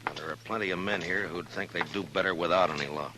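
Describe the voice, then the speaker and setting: An actor playing a stoic, world-weary western marshal, terse and dry, in a 1950s radio drama. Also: male voice, deep voice, quick pace, lightly acted. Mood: firm, dry. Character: stoic, world-weary frontier lawman of the old West. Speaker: town marshal. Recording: vintage radio broadcast with faint noise.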